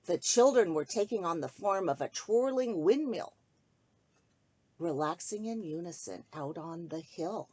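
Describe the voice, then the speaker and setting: A woman reading a rhyming children's story aloud in an expressive, sing-song way, speaking directly to young listeners. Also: mid-range voice.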